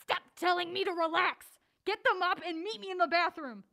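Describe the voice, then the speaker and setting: A woman's voice: extremely high pitch, a little fast, vocal fry, bright, forceful tone, full character voice. A woman playing an anxious, mild-mannered character in an audio drama, skeptical and easily exasperated. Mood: exasperated, urgent. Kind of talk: naturalistic audio drama acting.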